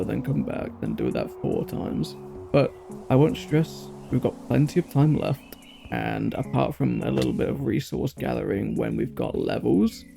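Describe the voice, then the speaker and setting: Man with a heavily creaky, gravelly voice. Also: medium pitch, warm tone. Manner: chatty, thinking aloud in short bursts. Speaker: gaming video host. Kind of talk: casual gameplay commentary. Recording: music playing behind the voice. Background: background music.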